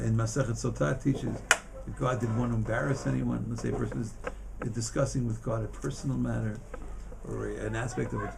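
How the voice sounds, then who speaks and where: A man giving an informal Torah class as a rabbi, in a halting, emphatic manner that often repeats words. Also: medium pitch, gravelly, background noise. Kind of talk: informal religious lesson.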